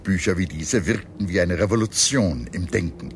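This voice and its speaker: A man reading a scripted history documentary in a measured, expressive storytelling manner. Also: low voice, a little hiss or hum. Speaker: documentary narrator. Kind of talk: scripted documentary narration with dramatized quotes. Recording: music under the voice. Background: background music.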